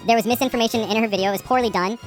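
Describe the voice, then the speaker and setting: Very fast-talking, insistent woman. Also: a little high. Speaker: commentary YouTuber. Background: background music.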